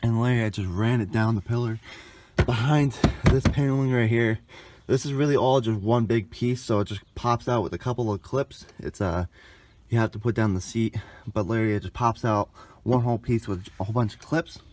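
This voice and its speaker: A man's voice: mid-range voice, fairly quick, a little mellow. A young adult man giving a casual, informal and rambling hands-on walkthrough as a vlogger. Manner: informal, rambling, mild swearing. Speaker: vlogger demonstrating a car radio installation. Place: in and around a parked car outdoors.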